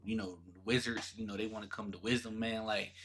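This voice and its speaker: A man's voice: on the low side, fairly even intonation, a little fast, a bit bright. A man hosting a reaction video in casual, slangy, repetitive speech with an incredulous manner.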